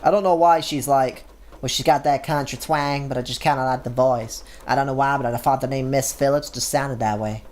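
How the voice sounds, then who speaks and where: A young man doing let's-play commentary and reading game text aloud, energetic and joking with sudden exclamations. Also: medium pitch, a little fast. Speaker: gaming YouTuber.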